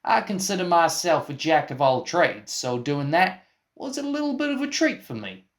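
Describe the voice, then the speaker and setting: A man's voice: fairly high pitch, very animated, moderate pace. A man playing an eccentric, evasive film collaborator in a comic radio play, insistent and theatrically cryptic. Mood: matter-of-fact. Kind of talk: scripted comic mock interview.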